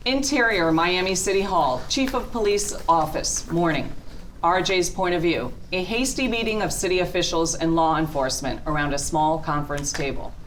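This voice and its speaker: Female stage-direction narrator, very low voice, scripted delivery, faint noise behind. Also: moderate pace, poor audio. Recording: faint noise and room ambience.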